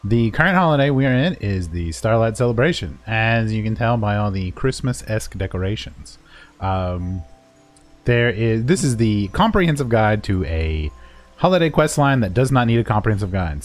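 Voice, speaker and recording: A man with a fairly low voice narrates a video game quest guide in a casual, joking way. His delivery is informal and playful, like someone making a silly walkthrough for fun. Music plays behind him. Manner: playful, informal, voices paraphrased dialogue and silly sound words. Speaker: gaming video creator giving a quest guide. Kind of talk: casual, jokey walkthrough commentary over gameplay.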